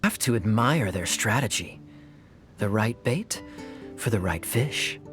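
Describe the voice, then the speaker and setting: A suave young knight captain, speaks with playful, knowing mockery. His voice moves lively up and down as he needles his companions. Faint music sits beneath the game dialogue. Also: fairly low pitch, unhurried. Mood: teasing. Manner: teasing, wry and playful. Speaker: game character.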